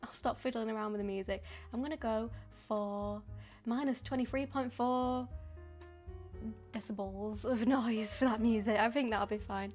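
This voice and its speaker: A woman's voice: a little high, steady tone, moderate pace, faint music behind. A woman, chatting casually and a bit ramblingly while she paints on a live stream. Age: 20s. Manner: chatty and rambling, with frequent ums and soft laughs.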